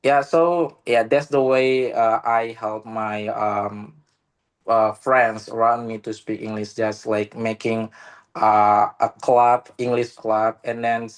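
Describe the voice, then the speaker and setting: Slow, halting Indonesian man. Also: fairly low pitch, level tone. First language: Indonesian local language. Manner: halting, many "uh" pauses and restarts. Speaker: meeting participant. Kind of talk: casual online discussion. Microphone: video call.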